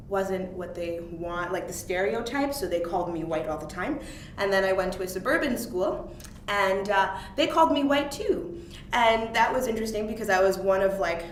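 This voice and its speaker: A woman's voice: lowish voice, far from the mic. A young woman answering interview questions in a reflective way, with frequent ums and uhs. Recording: roomy, off-mic, faint hiss.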